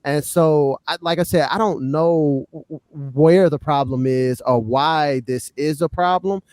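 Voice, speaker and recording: A man's voice: a little high, a little slow, darkish tone, no room sound. An American man co-hosting a hip-hop podcast, talking casually and colloquially, punctuating his points with slangy tags. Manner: colloquial, punctuates points with "man" and "you know what I'm saying". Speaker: podcast co-host.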